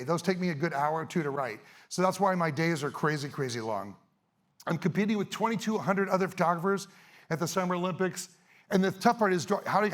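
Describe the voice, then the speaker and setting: A middle-aged man, a little hoarse, giving a fast, energetic, casual talk to a live audience on an event stage. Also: high-pitched. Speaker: event speaker, sports photographer.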